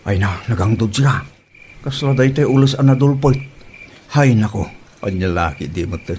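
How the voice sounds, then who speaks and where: A man's voice: lowish voice, animated, normal speed, darkish tone, slight background noise, lightly acted. A man with an Ilocano accent playing a complaining village husband in a comedy drama.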